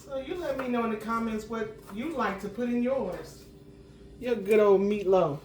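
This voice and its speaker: A woman, slightly hoarse from a cold, chatting homily as she cooks and clearing her throat now and then. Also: a little high, normal speed, darkish tone, a little hiss or hum. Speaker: home cooking channel host. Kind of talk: casual cooking chat. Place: home kitchen. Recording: roomy kitchen sound from a distant mic.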